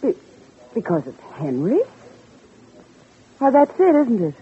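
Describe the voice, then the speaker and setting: An actress playing an insistent, scheming married socialite in a 1940s radio drama, on a muffled vintage recording. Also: female voice, on the high side, sing-song, takes it slowly, husky, full character voice. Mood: coaxing, insistent. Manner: coaxing with lovers, sharp and scolding with husband. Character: insistent, scheming married socialite pursuing her former lover.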